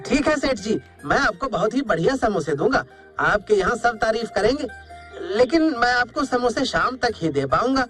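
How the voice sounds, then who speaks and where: A man's voice: extremely high pitch, animated, moderate pace, over music. A man playing a humble, eager samosa seller in a cartoon story, speaking politely and earnestly. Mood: eager, respectful. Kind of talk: Hindi cartoon story acting.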